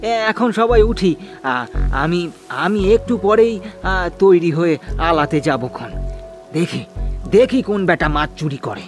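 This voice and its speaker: A man's voice: sits high, expressive, slow, long pauses, soft background music. A man voicing an anxious, grumbling village fish farmer in a horror cartoon, exclamatory and stammering, repeating his words. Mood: determined, flustered. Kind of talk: Bengali horror cartoon dialogue.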